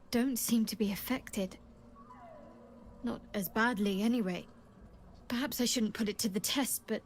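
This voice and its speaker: An actress playing a young, devout and guarded female cleric in a video game, with a measured, hesitant delivery. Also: fairly high pitch. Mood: hushed, awed. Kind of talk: video game dramatic acting.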